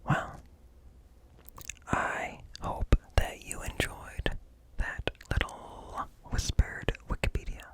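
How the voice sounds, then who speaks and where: A man reading informational text in a slow, hushed, breathy whisper for ASMR, close to the microphone. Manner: slow, deliberate, hushed, broken into short phrases. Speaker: ASMR host. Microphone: close ear-to-ear microphone.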